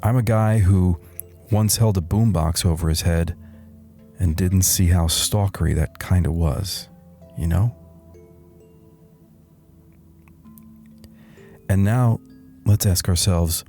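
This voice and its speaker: A man playing a wry, weary middle-aged slacker turned meditation guru, narrating a satirical guided meditation in a deadpan, soothing way with deliberate pauses. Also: deep voice. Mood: wry, reflective. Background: soft background music.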